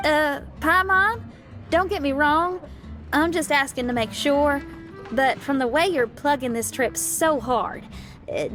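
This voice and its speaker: A woman's voice: sits high, a bit bright. A woman playing a spirited young female musician in a video game, casual and frank, with music behind. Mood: wary. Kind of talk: video game character acting.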